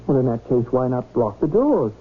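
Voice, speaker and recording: An actor playing an earnest, polite young doctor in an old-time radio drama. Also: male voice, medium pitch, sing-song, normal speed, lightly acted. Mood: puzzled, wary. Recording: lo-fi radio broadcast, faint noise.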